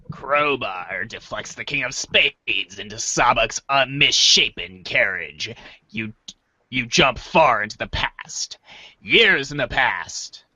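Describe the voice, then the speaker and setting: A man's voice: medium pitch, animated, slow, long pauses, pushed and bright, breathy edge, dry recording, low-quality recording. A man hosting a podcast and narrating a read-aloud in a jovial, theatrical way.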